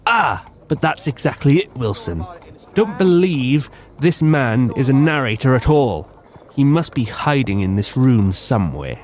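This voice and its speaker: An actor playing a pompous, dim-witted, excitable detective in a blustering manner for a comic radio drama. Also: male voice, medium pitch, a little slow. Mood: indignant. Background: music.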